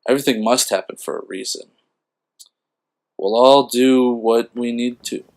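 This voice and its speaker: A man's voice: medium pitch, steady tone, a little slow, darkish tone, close to an everyday voice. A man playing a dreamy, philosophical teenage boy in an audio drama. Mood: reflective.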